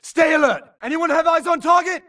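A tense sci-fi foot soldier in a video game. His very high, forceful voice shouts clipped combat callouts full of military code, urgent and set slightly away from the mic. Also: brisk, distant mic. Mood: alert, urgent. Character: tense male futuristic infantry soldier calling out enemies.

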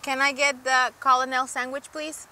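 A woman's voice: high voice, little pitch movement, moderate pace, pushed and bright. A woman in her twenties, a vlog co-host, speaking casually and politely. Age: 20s.

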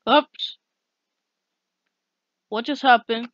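A woman's voice: on the high side, fairly even intonation, extremely slow, background noise. A woman giving casual, off-the-cuff commentary while recording her computer screen. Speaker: video maker. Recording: dull, muffled home recording.

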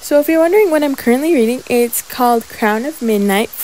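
A woman's voice: on the high side, animated, a little fast, darkish tone, slight background noise. A young woman narrating a vlog casually, in a chatty, informal way full of filler words. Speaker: vlogger.

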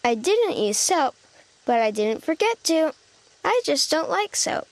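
A woman's voice: high voice, big pitch swings, unhurried, no room sound. A woman narrating a children's storybook expressively, voicing an earnest, forgetful little boy critter with a childlike manner. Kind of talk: expressive children's read-aloud in a young child character's voice.

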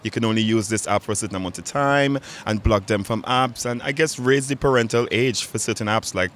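A young man from Trinidad and Tobago, his voice on the low side, answers firmly into a handheld mic. Traffic hums faintly behind him outdoors. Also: a little fast, a bit bright. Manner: earnest, opinionated. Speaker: interviewee. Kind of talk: street interview answers. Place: busy city street outdoors. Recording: outdoor street noise behind.

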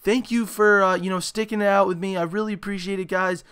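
Young guy, kinda hoarse from a concert, high voice, hyped game commentary w/ lots of "you know". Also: fast. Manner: excitable, heavy filler "you know". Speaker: gaming channel host. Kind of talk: gameplay commentary. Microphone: desktop microphone.

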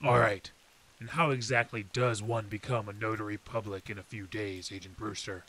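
An actor playing a hardened, frustrated veteran detective in an old-time radio drama, gruff and weary. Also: male voice, lowish voice, steady tone, moderate pace, pushed and bright. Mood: sarcastic. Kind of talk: 1930s radio drama acting.

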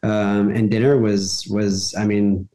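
A man's voice: lowish voice, level tone, slow pace, dark tone. Texan man chatting on a roomy call. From Texas. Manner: laughs often, rambling with restarts. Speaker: podcast guest, retired soccer player. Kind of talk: casual chat. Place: small plain room indoors. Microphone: video call, laptop camera. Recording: roomy video call audio.

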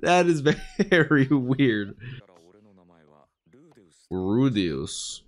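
Excitable young man with a raspy, sick voice. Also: medium pitch, drawn-out words. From United States. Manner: excitable, chatty, laughing often. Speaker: anime reaction streamer. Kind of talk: casual anime reaction commentary. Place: small bedroom studio with neon lights. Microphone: studio mic on boom arm, headphones.

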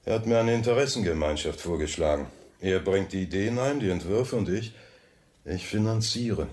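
An actor playing a suave, wealthy banker who talks smoothly and with polite condescension in a radio play. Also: male voice, sits low, animated, normal speed, darkish tone. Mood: coolly controlling. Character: suave, wealthy banker, coolly probing his rival. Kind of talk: German radio drama dialogue.

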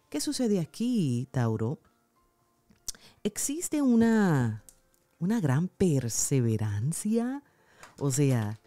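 A woman giving a weekly horoscope tarot reading indoors at a card table, speaking gently and affectionately to the viewer. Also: low voice, no room sound. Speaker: tarot reader.

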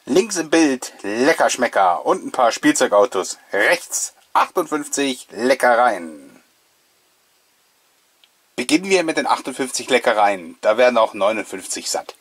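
A man's voice: medium pitch, very animated, measured pace, fairly bright tone. A man, giving chatty, playful, nostalgic commentary as the host of a casual video show. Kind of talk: casual, jokey commentary on an old mail-order catalogue.

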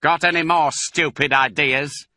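A man voicing a tiny, pot-bellied, spiteful farmer in a storybook, aggressive and shouting. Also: a little high, steady tone, unhurried, no room sound, clean studio sound, voice pushed up. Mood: impatient.

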